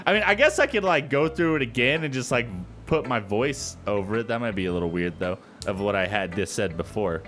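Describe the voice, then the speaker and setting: A man's voice: mid-range voice, expressive, brisk, fairly bright tone, faint music behind. A man hosting a gaming video with casual, informal, jokey let's play commentary.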